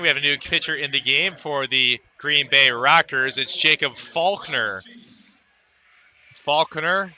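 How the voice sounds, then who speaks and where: A man's voice: a little high, pushed and bright. A man calling live baseball play-by-play for radio. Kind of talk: live radio sports commentary.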